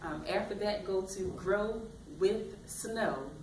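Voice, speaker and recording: A woman career coach giving bold, expressive motivational advice in an interview. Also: mid-range voice, slow, noisy background. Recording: echoey room, mic at a distance.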